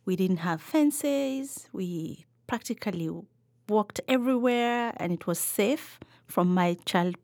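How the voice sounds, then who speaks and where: A middle-aged woman from Kenya, a podcast guest answering in a thoughtful, measured way with some fillers. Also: medium pitch, expressive, darkish tone, no echo, clean studio sound. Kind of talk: reflective interview answers.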